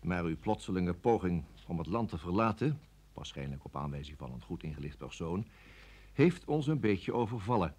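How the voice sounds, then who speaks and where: An actor playing a cool, authoritative security service interrogator in a radio play, formal, measured and curtly polite. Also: male voice, low-pitched, big pitch swings, a little mellow, slightly rough, full character voice. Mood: measured, accusing. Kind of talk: Dutch radio play acting.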